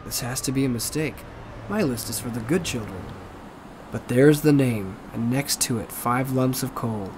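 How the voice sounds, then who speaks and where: A man playing a gentle, soft-hearted, doubtful Santa in an audio storybook. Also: medium pitch, normal speed, background noise, natural voice. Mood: troubled. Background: music.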